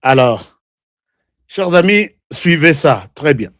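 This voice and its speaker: A man's voice: fairly high pitch, very animated, slow, a bit bright, dry recording, studio quality. A man with a Congolese French accent hosting a live online show, talking in heated, rambling political commentary and switching between languages.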